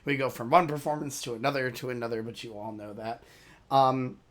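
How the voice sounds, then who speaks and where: A man with a hoarse, worn voice chats loosely to his viewers at a middle pitch. He rambles, says sorry and loses his thread now and then, in the easy tone of a reaction channel host. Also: steady tone, a little fast, a bit bright. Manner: rambling, apologetic, loses his train of thought. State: hoarse from a lost voice. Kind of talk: casual chat to viewers.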